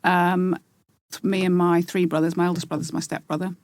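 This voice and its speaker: Soft-spoken woman, reflective and halting. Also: lowish voice, little pitch movement, no echo. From England. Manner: reflective, hesitant, many fillers and restarts. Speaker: podcast guest. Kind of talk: casual interview.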